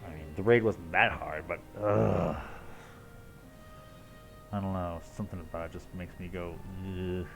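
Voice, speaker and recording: Deep male voice, game streamer, casual wry commentary, swearing, clear game music behind. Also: steady tone, unhurried, long pauses. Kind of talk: casual live game commentary, reading along.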